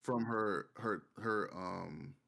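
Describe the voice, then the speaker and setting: A man with a fairly low voice chats informally on a podcast. He speaks in slangy, halting phrases, as if among friends. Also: creaky, bright, forceful tone. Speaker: podcast co-host. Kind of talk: casual chat.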